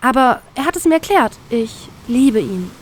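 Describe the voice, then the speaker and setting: A woman's voice: high-pitched, a little slow, voice pushed up. A woman reader voicing a young woman who talks in a light, sweet way and stammers when shaken. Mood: dreamy cheerful. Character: young woman, dreamy, cheerful and manipulated.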